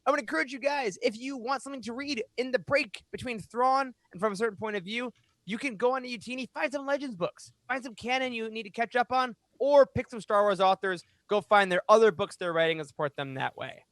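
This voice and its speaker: A man in his thirties with a notably high voice presents a fan podcast. He speaks emphatically and with animation. The sound is dry and close on a studio mic. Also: a little fast, a bit bright, no room sound. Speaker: podcast host. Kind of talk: reading aloud and hosting a fan podcast. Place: small home room, indoors. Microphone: close studio microphone on boom arm.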